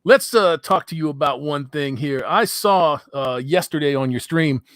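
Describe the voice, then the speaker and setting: A man's voice: a little high, moderate pace, fairly bright tone, no room sound, studio quality. A middle-aged man hosting a casual call-in podcast, blunt and profane, laughing often. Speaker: podcast host.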